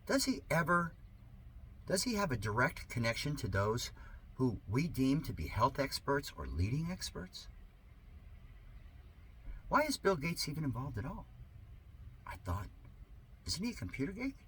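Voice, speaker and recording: A man reading an article aloud in a sarcastic, theatrical manner, with drawn-out emphasis and muttered asides. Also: mid-range voice, wildly swooping pitch, a bit bright, slight background noise, rough recording. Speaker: amateur reader of an article.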